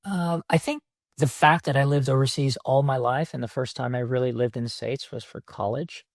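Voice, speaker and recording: A man in his fifties talks through his memories as a podcast guest. His voice has a gravelly, fried texture and a mid pitch, and he pauses on fillers as he thinks. The sound is dry and close. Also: fairly even intonation, no echo. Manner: reflective storytelling with many fillers. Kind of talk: conversational interview answers.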